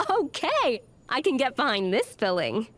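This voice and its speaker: A woman's voice: sits high, wildly swooping pitch, slow, fairly bright tone, heavily acted. An actress playing a poised, self-assured young female chef in a video game. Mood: pleased. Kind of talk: video game character voice lines.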